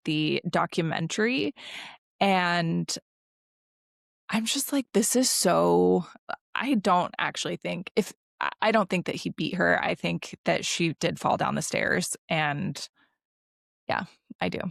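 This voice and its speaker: A woman co-hosting a podcast, chatting casually in a halting, slangy way full of likes and ums. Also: lowish voice, lively intonation, vocal fry, fairly bright tone, no room sound, studio quality. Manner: halting, filler-heavy, slangy conversational delivery. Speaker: podcast co-host.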